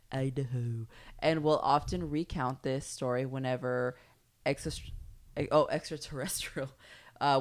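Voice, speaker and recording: Woman co-host, Spanish first language, deep voice, casual reader who trips over words and swears. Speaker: podcast co-host. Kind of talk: reading a story aloud, then casual chat.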